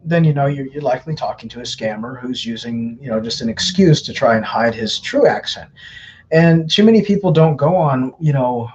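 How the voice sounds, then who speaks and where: A man's voice: medium pitch, lively intonation, normal speed, darkish tone, lo-fi sound, slight background noise. A man hosting a live Q&A, earnestly giving advice to viewers in a loose, repetitive way. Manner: earnest, advising, repeats words often. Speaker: host answering viewer questions. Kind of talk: live Q&A, casual explaining.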